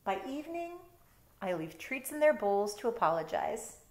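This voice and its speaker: A woman's voice: mid-range voice, very animated, moderate pace, a little hiss or hum. A middle-aged woman reading a picture book aloud and adding chatty, explanatory asides as she goes. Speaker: storytime reader, teacher. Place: bedroom at home. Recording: roomy indoor echo.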